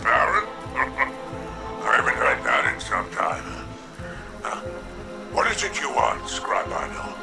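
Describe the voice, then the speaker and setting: A wily alien crime baron for a video game. The voice is thick with gravel and drawls slowly, sliding up and down in a slyly genial, insinuating way. Music plays clearly behind it. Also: male voice, medium pitch, wildly swooping pitch, heavily acted. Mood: wary, grumbling. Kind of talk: video game cutscene acting.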